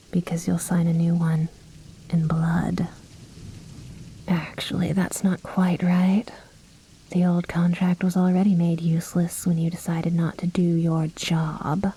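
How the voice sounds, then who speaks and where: Woman acting a vampire noblewoman, very breathy and whispery, mellow, haughty, possessive, seductively menacing, ASMR roleplay. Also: fairly low pitch, rough recording. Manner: slow, haughty, coaxing, softly menacing.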